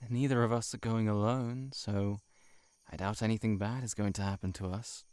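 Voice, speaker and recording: A man's voice: fairly low pitch, fairly even intonation, darkish tone, smooth, no room sound, lightly acted. A man playing a lovelorn shapeshifting monster posing as a friend in an intimate audio roleplay, speaking in an earnest, hesitant, pleading way. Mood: tender, yearning. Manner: hesitant, halting, emotionally pleading.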